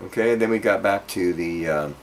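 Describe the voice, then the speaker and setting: A man giving an informal guitar lesson, explaining haltingly and checking in with the listener as he demonstrates phrases. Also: low-pitched. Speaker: guitar teacher. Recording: loud acoustic guitar alongside the voice. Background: guitar being played between phrases.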